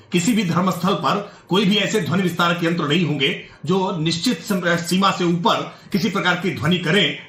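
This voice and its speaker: A man's voice: a little high, bright, forceful tone. A man delivering a formal, emphatic political statement as a spokesman. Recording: echoey room, faint music.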